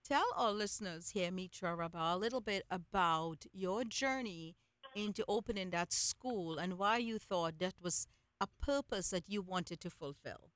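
A woman's voice: lowish voice, normal speed, a bit bright, dry recording. A woman hosting an interview, reflecting at length before she asks her guest questions. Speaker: host. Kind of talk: interview conversation.

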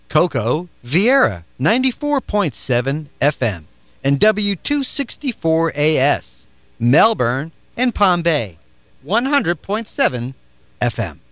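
Slow, measured male station announcer. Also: dry recording. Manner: slow, measured, with lively pitch swings. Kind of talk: scripted station identification.

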